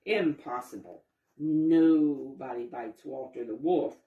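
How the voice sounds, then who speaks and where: Woman voicing an excitable, pushy fox schemer. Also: very deep voice, takes it slowly, recorded from a distance, narrow, tinny audio. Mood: incredulous. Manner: exclaiming, insistent. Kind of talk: children's storybook read-aloud. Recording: roomy, echoing sound.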